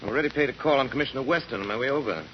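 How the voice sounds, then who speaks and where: An actor playing an urbane, composed gentleman detective, brisk and matter-of-fact, in an old radio drama. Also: medium pitch, slight background noise, close to an everyday voice. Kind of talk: 1930s radio drama acting. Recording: muffled old radio recording.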